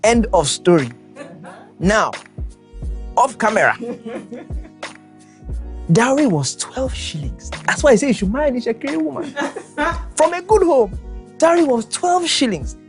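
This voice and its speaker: A man's voice: very high-pitched, expressive, a little slow, long pauses, soft background music. A man with a Nigerian accent, a podcast guest, telling a story in a jokey way and often repeating his words. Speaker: guest, husband. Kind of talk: casual podcast chat.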